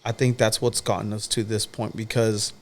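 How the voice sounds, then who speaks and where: A man's voice: fairly low pitch, flat delivery, moderate pace. A man in his thirties, a guest on a podcast, talking directly and emphatically in a casual chat and repeating key phrases to drive his point home. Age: 30s.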